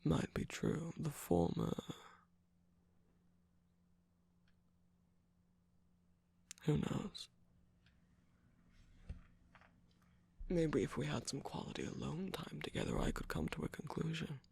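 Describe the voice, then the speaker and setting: A performer voicing a flirtatious, teasing male villain's minion in a romantic ASMR roleplay, husky and breathy with a coy, halting delivery that often drops into whispers. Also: mid-range voice, big pitch swings, a little mellow, exaggerated voice.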